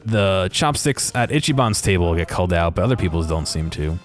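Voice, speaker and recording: A man giving casual, unscripted commentary over game footage in a hesitant, halting way. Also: sits low, lively intonation, faint music behind. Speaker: video creator narrating game footage.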